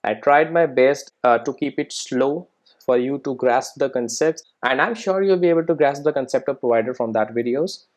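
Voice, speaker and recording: A man's voice: mid-range voice, moderate pace. A man presenting an informal screencast coding tutorial, explaining conversationally and often checking in with short tag questions. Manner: conversational, explanatory, often tagging sentences with "right?" and "okay?".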